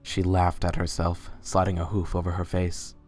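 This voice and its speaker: Very low, even-toned male narrator. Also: normal speed. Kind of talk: scripted narration of a fan fiction story.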